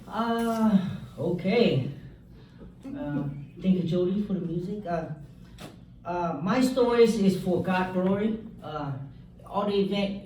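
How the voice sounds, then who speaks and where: A man's voice: high voice, noisy background. Man, echoey church hall. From South Vietnam. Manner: halting, many fillers, joking asides. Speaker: speaker giving a testimony. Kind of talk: church testimony talk. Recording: echoey hall, mic from far off.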